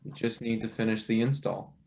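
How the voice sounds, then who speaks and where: A man's voice: medium pitch, little pitch movement, a little fast, darkish tone, lightly acted. Eager, wry young intern played by a man. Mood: tentative, wry. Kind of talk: table read of a satirical stage play.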